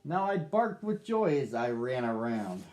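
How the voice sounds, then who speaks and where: A man's voice: medium pitch, very animated, measured pace, a little mellow, slight background noise. A man narrating as a sad, lonely young male dog in a children's storybook, reading earnestly. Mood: wistful.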